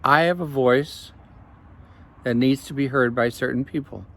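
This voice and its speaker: Slow, gravelly-voiced man reflecting outdoors. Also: mid-range voice, fairly bright tone. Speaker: life coach. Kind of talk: reflective evening vlog. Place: outdoors in the evening.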